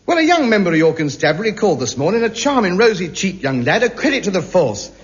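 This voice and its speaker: A man's voice: big pitch swings, fast. An actor with a British accent playing a pompous, easily outraged man in a 1950s radio sitcom. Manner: pompous, indignant, theatrical swings in pitch. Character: pompous hungry self-important man, indignant. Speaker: lead comic actor. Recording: muffled hissy 1950s radio broadcast.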